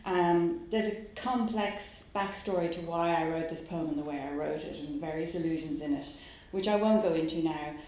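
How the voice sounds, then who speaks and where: An Irish woman from Dublin, a poet who talks her audience through her work in a musing way, pausing on fillers, then reads her poems aloud at a live event. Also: sits low, a little mellow, a little hiss or hum. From Ireland. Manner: musing, with hesitant fillers between phrases. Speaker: poet giving a reading. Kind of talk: poetry reading with spoken introduction. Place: live reading venue. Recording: very echoey room.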